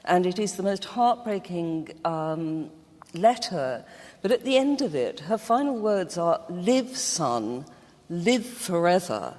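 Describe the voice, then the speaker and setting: A woman speaking as a guest in a reflective literary discussion, with a halting, measured delivery. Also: lowish voice, very animated, darkish tone. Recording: very reverberant hall.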